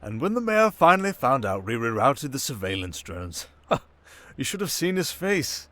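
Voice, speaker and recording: A man playing a suave, manipulative criminal mastermind in an intimate ASMR roleplay, with a coaxing, condescending, theatrical delivery full of drawn-out pauses. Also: mid-range voice, sing-song, bright, forceful tone. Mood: amused, nostalgic. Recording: music behind the voice. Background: music.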